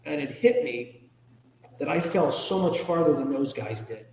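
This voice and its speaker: A man's voice: on the high side, expressive, normal speed, darkish tone, slight background noise. An American man giving candid, informal, reflective answers as a podcast guest. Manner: candid, informal, occasionally salty. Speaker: podcast guest, ethics trainer and public speaker. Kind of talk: reflective personal interview answers. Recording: echoey room with long reverb.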